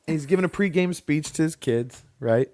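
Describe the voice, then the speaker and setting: A man co-hosting a podcast in casual chat, opinionated and thinking out loud with fillers. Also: on the high side, moderate pace, no echo, clean studio sound. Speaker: podcast co-host.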